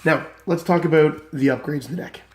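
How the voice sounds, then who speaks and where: A man's voice: a little high, steady tone, fairly quick, noisy background. A man casually walking through a card game deck, enthusiastic and rambling with many fillers, his voice still recovering from illness.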